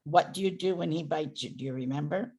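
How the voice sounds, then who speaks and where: A hoarse woman dog trainer giving loud, emphatic instructions in a casual chat over a video call. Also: deep voice, fairly quick. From San Francisco Bay Area.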